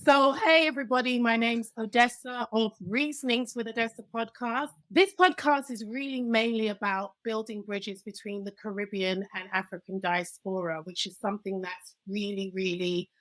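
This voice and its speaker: A woman's voice: fairly high pitch, fairly even intonation, moderate pace, hardly any pauses, a bit bright, no room sound. A woman with a British accent, raised in London, chatting energetically as a podcast guest and laughing easily. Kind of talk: casual interview chat.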